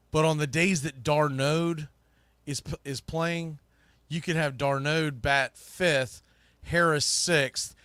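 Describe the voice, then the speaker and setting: A man's voice: mid-range voice, fairly bright tone, no room sound. A man hosting live sports talk, giving opinionated commentary in an emphatic, colloquial, conversational way like a broadcaster. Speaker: sports podcast host and play-by-play broadcaster.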